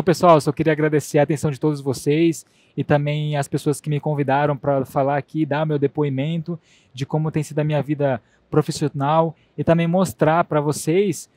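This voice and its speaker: Man, sign language interpreter, relaxed and playful, slightly high voice, dry close sound. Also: no echo. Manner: relaxed, playful, laughs easily. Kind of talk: voicing a deaf speaker's talk in first person. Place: conference stage.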